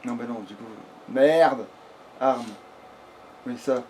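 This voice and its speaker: A man's voice: mid-range voice, steady tone, moderate pace, a little hiss or hum, rough recording. A man giving casual, rambling let's play commentary, often swearing coarsely. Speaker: video game streamer.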